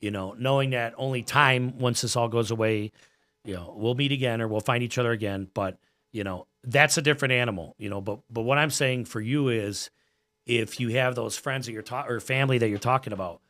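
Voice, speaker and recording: A man's voice: mid-range voice, a little fast, a bit bright. Earnest American man in his forties. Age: 40s. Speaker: podcast guest, gym owner and coach. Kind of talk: casual podcast conversation.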